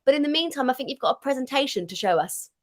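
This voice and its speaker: High-voiced young woman hosting a webinar. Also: animated, rapid-fire. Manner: chatty, friendly, jokey asides. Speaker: webinar host. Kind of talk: upbeat scripted webinar hosting.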